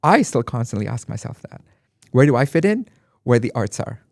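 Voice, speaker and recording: A man's voice: medium pitch, big pitch swings, brisk, creaky, darkish tone, no room sound, studio quality. A man from Dubai, guest on an interview podcast, speaking reflectively and with emphasis, often repeating phrases. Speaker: guest artist. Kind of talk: conversational interview.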